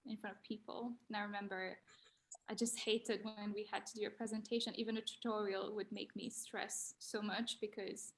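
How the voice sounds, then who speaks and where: A woman's voice: medium pitch, flat delivery, moderate pace. A young woman speaking English as a second language, candid and a little hesitant as she reflects on a panel over a video call. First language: not English. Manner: hesitant, candid, self-deprecating. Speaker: design graduate panelist.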